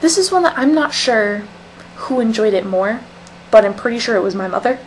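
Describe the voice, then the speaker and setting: A woman's voice: a little high, a little fast. Young woman vlogging over noisy webcam audio. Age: young adult. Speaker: vlogger in a collaborative channel. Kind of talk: casual chat to camera. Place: small indoor room at home. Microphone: webcam or laptop mic at desk distance.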